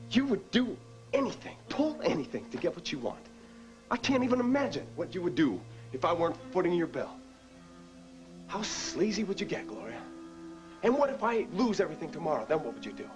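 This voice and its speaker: A scornful former swindler in a soap opera, his voice fairly high for a man and swinging widely in pitch. Music plays clearly beneath his lines. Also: big pitch swings, a little fast. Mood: contemptuous. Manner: contemptuous, clipped, defensive. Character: cold, defensive con man trying to go straight. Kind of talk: daytime soap drama acting.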